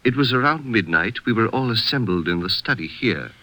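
Polite retired egyptian statesman, crisp bright lowish voice, very animated, formal old radio acting. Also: no echo, low-quality recording. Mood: courteous, grave. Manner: formal, gracious, measured foreign phrasing.

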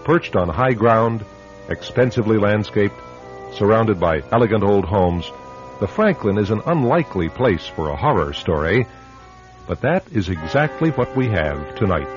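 Low-voiced man narrating radio drama. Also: big pitch swings, moderate pace, faint music behind. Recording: dull, band-limited radio recording.

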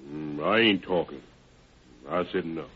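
A man's voice: extremely low pitch, normal speed, breathy edge. A man playing a sullen, cornered murder suspect who talks in folksy nonstandard dialect in a 1930s radio drama. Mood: sullen, evasive. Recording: dull old radio recording.

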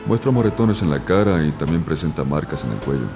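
An actor playing a clinical, matter-of-fact forensic examiner reporting findings in an audio drama. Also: male voice, very deep voice, expressive, a little fast, over music. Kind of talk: scripted audio drama dialogue.

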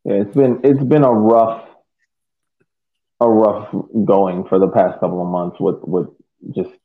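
A man co-hosting a soccer podcast, giving casual analysis in a halting, deliberate way. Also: lowish voice, little pitch movement. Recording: tinny phone-like call audio.

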